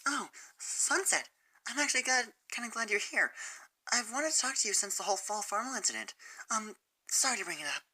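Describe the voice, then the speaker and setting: A woman voicing a timid, kind, soft-spoken teenage girl in a fan story reading, hesitant and gentle. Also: fairly high pitch, animated, a little fast, pitched above the performer's own voice. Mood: shy, hesitant.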